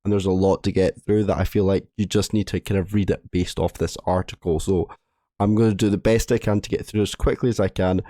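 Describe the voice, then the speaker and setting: Hoarse low-voiced man hosting. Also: steady tone, fairly quick, dark tone, no echo, clean studio sound. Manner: rambling, apologetic, restarts words often. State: hoarse from a cold. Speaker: gaming video host. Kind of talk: casual commentary reading a game update.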